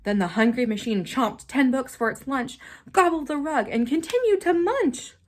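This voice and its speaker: Animated high-voiced woman reading to children. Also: moderate pace, a little hiss or hum. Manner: animated, dramatic, simple explanations for young children. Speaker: storybook reader. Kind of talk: expressive children's read-aloud with short explanatory asides.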